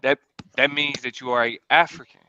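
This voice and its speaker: A man's voice: mid-range voice, fairly quick, sharp tone, smooth, dull audio. A man in a casual podcast debate, pressing with probing questions in slangy talk.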